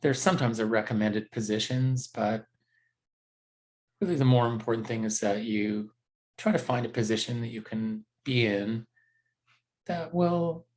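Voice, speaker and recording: A man teaching a guided meditation in a gentle, unhurried, conversational way with halting pauses. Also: medium pitch.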